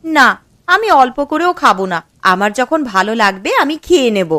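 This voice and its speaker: A woman playing a bossy but caring housewife in a cartoon moral story, brisk and quick to nag. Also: high voice, sing-song, sharp tone, dry recording, heavily acted. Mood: dismissive. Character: bossy, caring housewife, easily piqued by husband.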